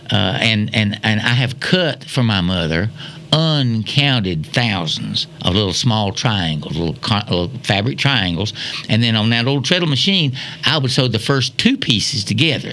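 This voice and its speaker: A man's voice: medium pitch, bright, forceful tone. A man in his seventies with a Southern American accent, telling folksy reminiscences in a rambling way, repeating phrases for emphasis. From Wilkes County, North Carolina, USA. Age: 70s. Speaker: storyteller guest.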